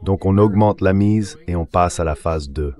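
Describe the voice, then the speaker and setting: Really low male voice, dubbed political pundit, measured but argumentative. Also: darkish tone. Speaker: commentator, interview guest. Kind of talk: translated dubbed political commentary.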